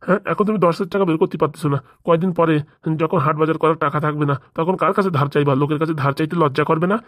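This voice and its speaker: A man's voice: fairly high pitch, fairly even intonation, racing, no echo, lightly acted. A man voicing a pushy, scheming father-in-law in a comic cartoon, badgering with questions. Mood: nagging, pushy. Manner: badgering, nagging with rhetorical questions. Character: pushy, scheming father-in-law pressing his son-in-law for money.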